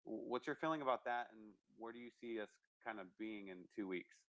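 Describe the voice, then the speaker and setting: A man's voice: lowish voice, brisk, a bit bright, no echo, poor audio. A man hosting a live online audio chat, upbeat and casual, thanking speakers and prompting questions. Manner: upbeat, many fillers and restarts while hosting. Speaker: host.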